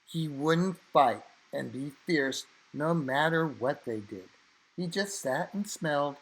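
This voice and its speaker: A man reading a children's story aloud, expressively, with dramatic emphasis and drawn-out phrases. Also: fairly high pitch, animated, breathy edge, low-quality recording.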